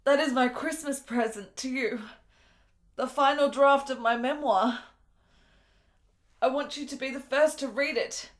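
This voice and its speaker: An actress playing a gravely ill, melancholy and intense woman in a period radio drama, speaking in deliberate, literary phrases. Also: sits high. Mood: weary, tender.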